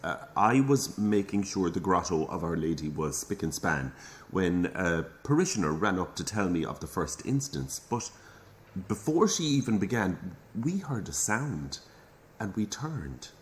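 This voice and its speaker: Low-voiced pious Irish priest. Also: male voice, sing-song, unhurried. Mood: reverent. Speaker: actor in audio drama. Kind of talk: naturalistic audio drama acting.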